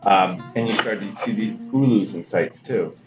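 Middle-aged man, low voice, museum curator, roomy muffled sound, guitar music. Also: moderate pace. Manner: lively, explanatory, with fillers. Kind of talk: explaining artifacts. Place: indoors, at a table in a museum workroom.

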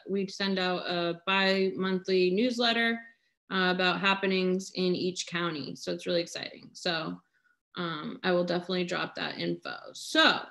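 Halting woman from Vermont on a video call. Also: mid-range voice. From Vermont, USA. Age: 30s. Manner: halting, many filler pauses. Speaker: chapter chair and organizer. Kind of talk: casual meeting remarks and introduction. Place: home room at night, lamp-lit. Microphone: laptop webcam on a video call.

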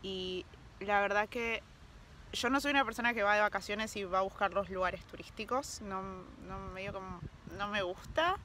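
A relaxed woman in her thirties with a Rioplatense Spanish accent, talking easily into a phone held at arm's length. She chats like a travel vlogger, pausing to hunt for the right word. Faint outdoor noise from the park sits behind her voice. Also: medium pitch. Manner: relaxed, chatty, searching for words. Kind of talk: casual travel vlog chat.

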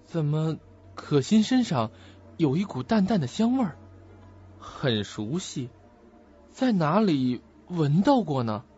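Man acting an animated, high-voiced eager young man. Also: takes it slowly, husky, dull audio, exaggerated voice. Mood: musing. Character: eager, gentle young man visiting a former classmate. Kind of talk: Chinese suspense radio drama acting.

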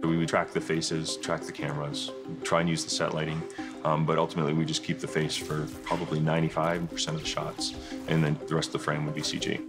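Male effects artist, very deep, monotone technical interview. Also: quick pace. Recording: music behind voice.

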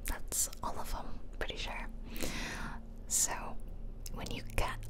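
A woman giving whispered ASMR game commentary with playful, casual asides, recorded close to the microphone. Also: takes it slowly. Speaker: gaming streamer. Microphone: close ASMR microphone, ear to ear.

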